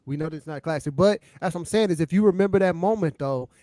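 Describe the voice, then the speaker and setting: Higher-voiced man breaking down hip-hop, dry sound. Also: rapid-fire, dark tone, dry recording. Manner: emphatic, explanatory, frequent tag questions like "right?" and "okay". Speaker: podcast co-host. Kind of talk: casual hip-hop album debate.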